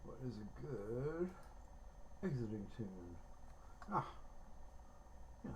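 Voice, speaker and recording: A man talking casually and haltingly to viewers on a livestream, in an understated way. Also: medium pitch, expressive, darkish tone, a little hiss or hum.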